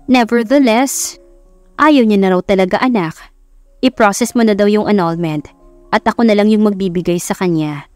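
A Filipino woman voicing a gentle older mother in an audiobook, careful and pleading with her grown son. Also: mid-range voice, moderate pace, dry recording, faint music behind, lightly acted. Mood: insistent.

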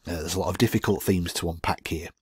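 Low husky male Bible teacher. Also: fairly even intonation, fast, no echo. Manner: thinking aloud with frequent fillers and restarts. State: groggy voice. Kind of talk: casual Bible study lesson over slides.

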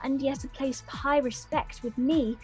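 Devoted, lovestruck young female, acted by a woman, classical radio drama, high register, ardent and imploring. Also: steady tone, normal speed, a little mellow, soft background music, close to an everyday voice. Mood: pleading, adoring. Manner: pleading, ardent, insistent. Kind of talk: Shakespearean radio-play acting.

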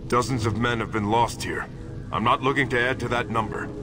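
An actor playing a wary, grave and anxious dwarf expedition leader in a fantasy video game. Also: male voice, mid-range voice, fairly quick, over music, natural voice. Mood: anxious, guarded.

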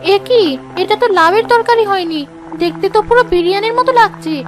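A woman's voice: extremely high pitch, a little fast, over music. A woman voicing an anxious, timid young daughter-in-law in a cartoon, fretful and full of self-doubt. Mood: panicked, worried. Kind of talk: cartoon story voice acting.

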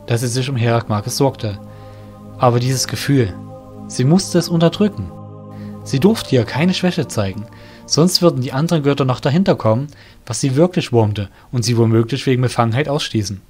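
Male audio drama narrator, medium pitch. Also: a little mellow.

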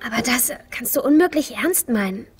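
A confident teenage girl in an animated film. She speaks high and insistently, caring but stubborn, over faint music. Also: moderate pace, slightly rough. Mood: concerned, determined. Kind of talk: German animated film dub.

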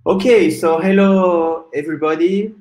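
Man in his 40s from france, tech talk over a video call, halting with fillers, small home room. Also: high-pitched, a little mellow, poor audio. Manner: measured, frequent "uh" fillers. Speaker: guest speaker, software developer. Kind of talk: conference talk presentation. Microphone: video call webcam.

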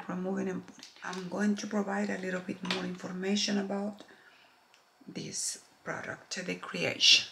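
A middle-aged woman from Chile with a strong Chilean Spanish accent, a makeup artist speaking slowly and softly to camera, often dropping to near-whispers. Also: medium pitch, vocal fry. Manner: slow, soft-spoken, drops to near-whispers, earnest. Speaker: makeup artist and beauty vlogger. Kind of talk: makeup tutorial chat to camera. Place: home studio with shelves of products, indoors. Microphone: camera close-up, upper body framing.

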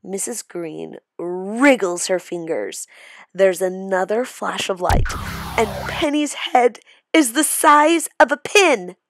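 A woman reading a children's story aloud in a theatrical, suspenseful, expressive way. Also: medium pitch, slow pace, background noise. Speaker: storybook reader. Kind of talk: dramatic children's read-aloud narration.

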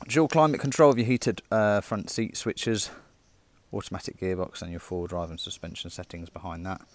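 British male car dealer, lowish voice, informal showroom walkaround, chatty sales delivery. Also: fairly even intonation, normal speed, no room sound. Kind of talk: walkaround sales presentation, semi-casual commentary.

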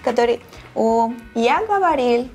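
A woman's voice: fairly high pitch, lively intonation, unhurried, gravelly, darkish tone, soft background music. A young non-native woman with a foreign accent, giving reactions in casual chat. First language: not Russian. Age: young adult. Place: small studio, seated at table. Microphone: lapel.

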